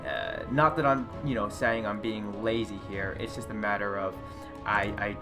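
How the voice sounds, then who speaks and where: A man hosting a casual gameplay video, talking loudly and chattily into a desk mic, often correcting himself mid-sentence. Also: lowish voice, big pitch swings, moderate pace, over music. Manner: loud, chatty, self-correcting. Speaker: gaming channel host. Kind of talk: casual game commentary. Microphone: desk USB condenser mic.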